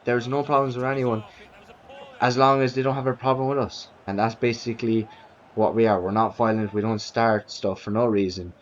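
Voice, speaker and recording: A man's voice: mid-range voice, level tone, normal speed. A young Irish man talking casually to camera as a vlogger, rambling, with many restarts and fillers. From Ireland. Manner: rambling, repeats himself, frequent restarts and fillers. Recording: dull lo-fi sound.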